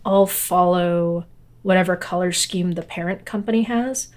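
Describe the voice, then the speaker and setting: A woman co-hosting a podcast in casual conversation, with halting, informal phrasing that trails off. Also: fairly low pitch, a little mellow. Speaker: podcast co-host.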